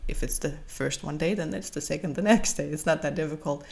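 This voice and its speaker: A woman's voice: sits low, fairly quick, creaky, darkish tone. A young woman whose first language is Danish, narrating a journaling video in casual, hesitant English with frequent fillers. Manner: hesitant, with many fillers and restarts. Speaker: bullet journal vlogger.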